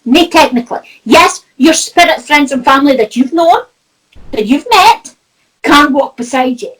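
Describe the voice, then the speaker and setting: A woman's voice: high-pitched, expressive, moderate pace, a bit bright, recorded from a distance, poor audio. A Scottish woman with a Doric accent, a spiritual medium chatting casually and telling anecdotes, with lots of "you know" and laughter. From Scotland. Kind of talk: casual chat about spirituality.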